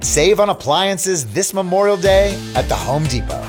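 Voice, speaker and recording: A man's voice: high voice, expressive, moderate pace. Upbeat male ad announcer over music. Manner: upbeat, salesy. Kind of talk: retail radio ad read.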